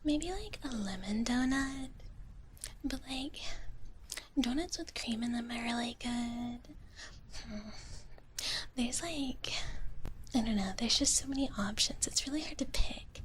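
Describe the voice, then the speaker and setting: A woman livestreaming ASMR, chatting casually in a gentle, slow whisper and often repeating short phrases, close to the mic. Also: a little high, a little hiss or hum.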